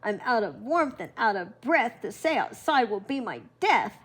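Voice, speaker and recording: A woman's voice: fairly high pitch, big pitch swings, normal speed, a bit bright, breathy edge, full character voice. An older woman voicing a cold male fox who wails and pleads to be let in, in a storybook. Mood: pleading. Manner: wailing, pleading.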